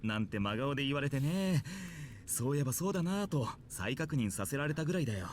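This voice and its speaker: Man acting chatty man in charge, visual novel drama, rapid speech, lively pitch, mildly amused. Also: a little hiss or hum, natural voice.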